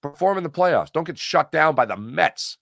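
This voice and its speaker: A man's voice: a little high, lively intonation, moderate pace, creaky, a bit bright, no room sound, studio quality. An American man hosting a sports talk livestream, chatting casually and emphatically, often repeating phrases to make his point. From Pennsylvania, United States. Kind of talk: casual sports commentary and chat with viewers.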